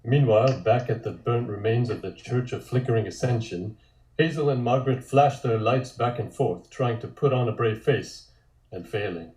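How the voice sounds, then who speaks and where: A man's voice: medium pitch, moderate pace, a little mellow. A man narrating scripted stage directions for a table read, with dry, wry asides. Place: indoor room, multistream call. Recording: roomy, echoing home recording.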